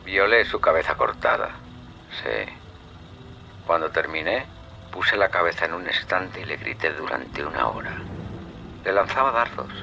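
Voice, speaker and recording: An actor with an accent from Spain playing a calm serial killer who recounts his crimes in a measured, sardonic way, in a radio drama. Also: low-pitched, creaky, lo-fi sound, soft background music, full character voice. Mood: chillingly matter-of-fact. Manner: measured, coldly matter-of-fact, darkly sardonic. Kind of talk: Spanish radio drama acting.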